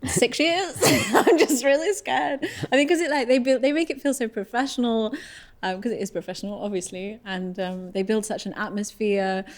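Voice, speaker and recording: A woman, a musician chatting candidly and casually in an interview, telling things in an animated way. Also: on the high side, moderate pace. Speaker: folk musician.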